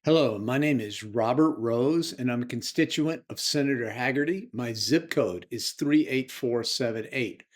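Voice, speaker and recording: A man's voice: medium pitch, moderate pace, hardly any pauses. An American man speaking emphatically and indignantly as a political activist making his case. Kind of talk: impassioned spoken political advocacy.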